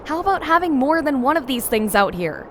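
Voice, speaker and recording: A woman's voice: sits high, big pitch swings, brisk, fairly bright tone, a little hiss or hum. An actress playing an exasperated young woman in an audio drama, grumbling sarcastically. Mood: annoyed grumbling.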